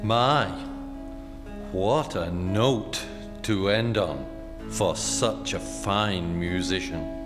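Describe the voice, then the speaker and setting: A man playing a macabre old-time radio host, deliberate and wry, recorded on a reverberant stage with music behind him. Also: sits low. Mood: wry, relaxed. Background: plucked guitar music.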